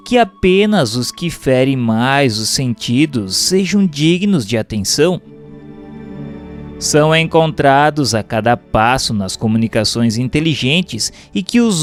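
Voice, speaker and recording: A man with a Brazilian accent reads aloud as a scripted audiobook narrator, in a formal, measured, didactic manner. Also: mid-range voice. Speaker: narrator reading a spiritist book aloud.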